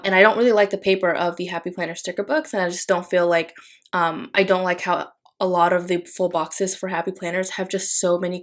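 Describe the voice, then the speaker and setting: A young woman from the Philippines, Tagalog as her first language, with a voice sitting a little low. She talks in a relaxed, rambling way, full of fillers and restarts, as a home voice-over for her planner video. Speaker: planner vlogger. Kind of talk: casual voice-over while decorating a planner.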